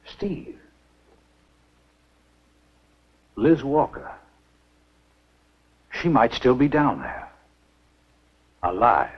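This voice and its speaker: A man acting a grave, stubborn small-town American doctor in a 1950s B-movie, with a husky, deliberate, emphatic delivery. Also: mid-range voice, very animated, heavily acted. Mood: intent. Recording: dull, muffled 1950s film soundtrack.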